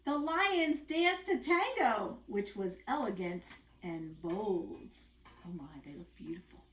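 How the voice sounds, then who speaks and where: A woman reading a picture book aloud to small children as a story-time host, expressive and chatty with the listeners. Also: mid-range voice, takes it slowly, a little hiss or hum. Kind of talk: animated picture-book narration. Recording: roomy, echoing sound.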